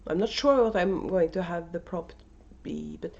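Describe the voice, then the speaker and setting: A Norwegian-accented woman talks casually and hesitantly to her camera at home, full of "uh"s and restarts. Her voice sits a little low for a woman. The camera audio sounds somewhat dull and muffled. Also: normal speed, vocal fry, dark tone. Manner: hesitant, many fillers and restarts. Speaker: knitting podcast host and yarn dyer. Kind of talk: casual unedited video podcast chat. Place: at home, indoors. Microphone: camera microphone.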